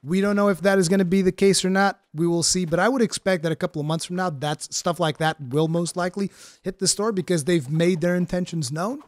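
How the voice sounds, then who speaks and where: A man's voice: high voice, fairly quick, dry recording, studio quality. A man from Portugal with an American-sounding English accent, casually explaining his first impressions of a game in a chatty way with frequent fillers. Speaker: gaming streamer. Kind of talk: casual game review commentary.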